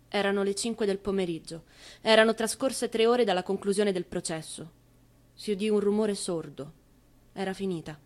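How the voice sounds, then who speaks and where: A woman narrates a scripted drama in a medium-pitched voice. Her delivery is solemn and deliberate, in the manner of a stage actress reciting for radio.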